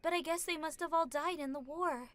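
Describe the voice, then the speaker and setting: A woman acts a young captive noblewoman in an audio drama. Her voice is high, and her manner is polite and wistful, longing for home. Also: a little fast, a bit bright. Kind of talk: radio play acting, fan production.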